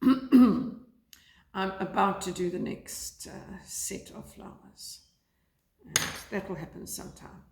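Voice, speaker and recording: A woman from South Africa chatting casually about her knitting by a fire, rambling and hesitant with many fillers, her voice croaky today. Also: mid-range voice, takes it slowly, pauses often, a little mellow. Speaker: knitting vlogger. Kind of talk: casual chat to camera. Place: lounge by a fireplace, indoors. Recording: echoey room.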